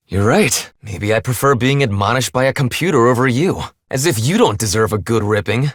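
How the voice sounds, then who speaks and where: A stern young leader from a video game, played by a man, with a medium-pitched voice that swings widely as he speaks. He is blunt, formal and commanding, clipped in his phrasing, and sounds very close and dry. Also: lively intonation, normal speed, no echo, lightly acted. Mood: sardonic, irritated. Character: stern, blunt young male half-human leader of escaped prisoners. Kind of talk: English video game voice acting.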